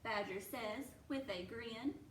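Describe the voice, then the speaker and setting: A woman reading a story aloud to young children like a teacher, expressively, pausing to explain and ask questions. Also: fairly high pitch. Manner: expressive, slow, explaining as she reads. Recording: roomy, distant mic, faint noise.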